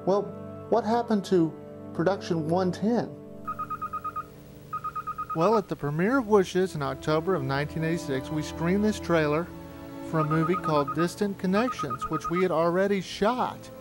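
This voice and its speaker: A man's voice: high voice, normal speed, rough recording. A man narrating a reflective, anecdotal documentary retrospective as the filmmaker. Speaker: filmmaker looking back on studio's history. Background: music.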